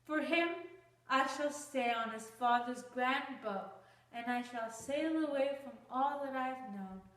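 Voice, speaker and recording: A woman's voice: high voice, measured pace, fairly bright tone. A woman playing a young romantic wife in an earnest, declamatory Shakespearean-style stage soliloquy. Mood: devoted, resolving. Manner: declamatory, earnest, archaic phrasing. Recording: roomy, distant microphone.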